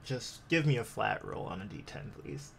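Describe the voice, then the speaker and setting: A man improvising descriptive narration as game master of a tabletop roleplaying game, pausing often as he goes. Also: fairly low pitch, expressive, gravelly, fairly bright tone. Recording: faint background noise.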